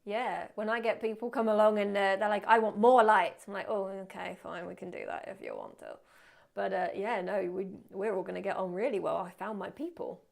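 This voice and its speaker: A woman teaching photography in a live webinar, chatty and self-deprecating, muttering quietly to herself as she works at her computer. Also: mid-range voice, sing-song, a little fast, creaky. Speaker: photography instructor. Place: indoors at a computer.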